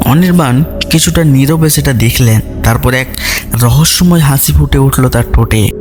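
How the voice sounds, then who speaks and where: A man's voice: mid-range voice, normal speed. A man narrating a scripted detective story in a dramatic storytelling style. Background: background music.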